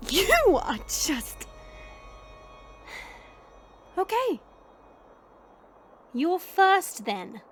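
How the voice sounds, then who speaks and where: A woman playing a cocky, mocking female vampire monster in an audio roleplay drama, with a drawn-out, sarcastic delivery. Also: very high-pitched, wildly swooping pitch, slight background noise, heavily acted. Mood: taunting, smug.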